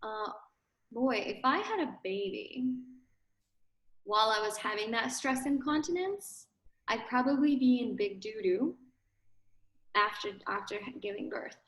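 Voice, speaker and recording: A woman's voice: fairly high pitch, animated, unhurried, pauses often. Ukrainian-accented woman explaining, echoey room. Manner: explanatory, fillers and tag questions. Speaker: pelvic floor fitness studio owner. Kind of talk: casual interview chat.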